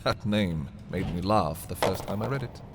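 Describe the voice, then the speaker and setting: An actor playing a haughty, menacing prince taunting a captive thief in a dark fantasy audio roleplay, theatrical and condescending with dramatic pauses. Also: male voice, deep voice, a little hiss or hum. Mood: mocking admiration.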